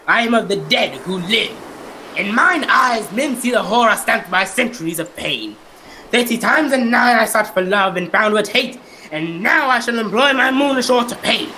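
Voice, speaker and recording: Young man as a high-voiced, bitter cursed captain. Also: pushed and bright, slight background noise, exaggerated voice, poor audio. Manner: declamatory, anguished, vengeful. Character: bitter, cursed, centuries-old male ghost ship captain. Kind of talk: old-time radio melodrama acting.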